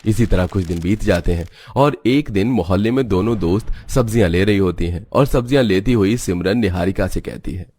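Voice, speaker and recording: Low-voiced man narrating a story. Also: fairly quick, hardly any pauses, dark tone. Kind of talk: scripted cartoon story narration. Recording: music behind the voice.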